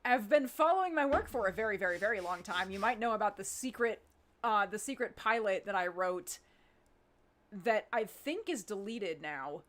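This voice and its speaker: A woman talking informally in a live Q&A as a show's creator, thinking aloud and pausing as she goes. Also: medium pitch, expressive, a bit bright. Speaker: audio drama writer and producer. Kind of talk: casual chat in a live Q&A.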